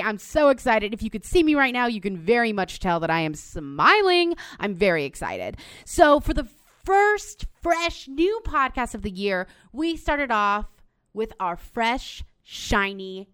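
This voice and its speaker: A young woman hosting a student podcast, bubbly and excitable, teasing her guests playfully. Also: medium pitch, clear, resonant tone, no echo. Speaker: podcast host. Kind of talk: casual group chat.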